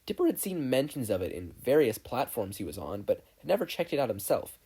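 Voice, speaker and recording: Husky, mellow, expressive story narrator. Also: mid-range voice, a little fast. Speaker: podfic narrator.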